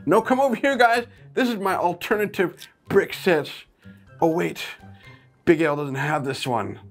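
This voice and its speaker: A man hosting a casual toy review vlog, chatty and easygoing, with sudden bursts of excitement. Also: sits high, unhurried, soft background music.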